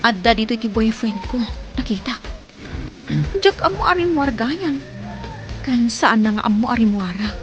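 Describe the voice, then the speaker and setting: A woman's voice: on the high side, animated, normal speed, long pauses, muffled recording, lightly acted. A woman with an Ilocano accent playing a hardworking young woman with a deceitful boyfriend in a radio drama. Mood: hurt, disappointed. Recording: music behind the voice.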